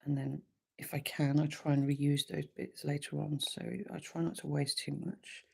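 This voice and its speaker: A woman with a slightly croaky voice from a sore throat, hosting a relaxed live wire-wrapping tutorial from her workshop. She chats easily and thinks aloud with frequent ums. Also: low-pitched, normal speed.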